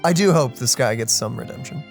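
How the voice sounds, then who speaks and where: Woman, very low pitch, laid-back casual streamer, musing reactions, soft game music underneath. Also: expressive, fairly quick, vocal fry. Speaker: let's play streamer. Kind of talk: casual gameplay reaction commentary.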